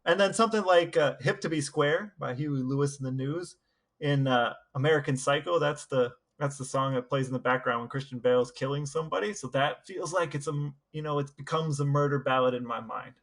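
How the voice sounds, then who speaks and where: A man's voice: fairly high pitch, fairly quick. A man co-hosting a podcast, chatting casually and asking playful questions in a wry, mock-serious way. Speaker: podcast co-host. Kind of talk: casual chat and playful interviewing.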